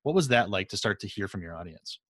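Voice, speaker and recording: A man's voice: on the low side, animated, fast, a bit bright, no room sound, clean studio sound. A man hosting a podcast interview in an informal, rambling, affirming way. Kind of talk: casual conversational interview.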